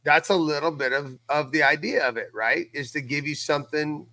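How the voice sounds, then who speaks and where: Bright, dry-sounding man in casual chat. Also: on the high side, brisk, dry recording. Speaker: podcast creator and co-host.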